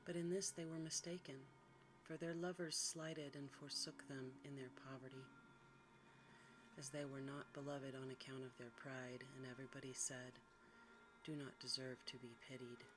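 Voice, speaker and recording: A quiet, soft-spoken narrator reading a bedtime story in a hushed ASMR style. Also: medium pitch, level tone, normal speed. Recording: clearly noisy recording with background ambience.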